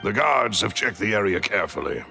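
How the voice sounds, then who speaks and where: A man voicing a formal, courteous and dutiful attendant in a video game, with a gravelly voice. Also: fairly low pitch, big pitch swings, fairly quick, sharp tone, soft background music, heavily acted.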